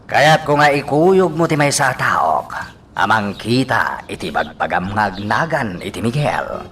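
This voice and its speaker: Man acting a slow-talking, suspicious Filipino congressman. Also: fairly low pitch, very animated, a bit bright, slight background noise. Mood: probing. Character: suspicious, brooding male congressman, a schemer. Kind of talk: Filipino radio drama acting.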